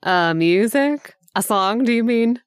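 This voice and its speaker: A woman playing a kindly, attentive mother in an old-time radio drama, gently questioning her young son. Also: fairly high pitch, expressive, measured pace, smooth, dry recording, studio quality. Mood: gentle, curious.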